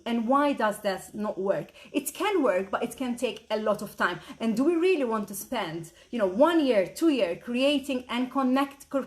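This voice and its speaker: Middle-aged Maltese-born woman, business mentor, bright and eager live-stream delivery, slightly high voice. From Malta. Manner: upbeat, eager, repeats "super" a lot, greets viewers by name. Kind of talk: live-streamed talk to viewers. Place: at home at a computer.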